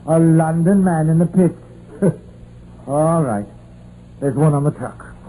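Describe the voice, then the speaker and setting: An actor playing a steady, cautious coal mine crew boss who gives clipped, practical orders in a 1950s radio drama. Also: male voice, on the high side, takes it slowly. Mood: brisk, commanding. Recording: muffled old radio recording with faint noise.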